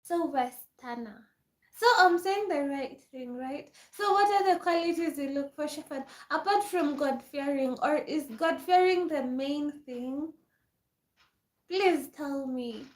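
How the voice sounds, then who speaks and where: A woman's voice: high-pitched, measured pace. A young woman, chatting casually on a livestream, reading and answering viewer comments and laughing often. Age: 20s. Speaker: livestream host. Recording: echoey room.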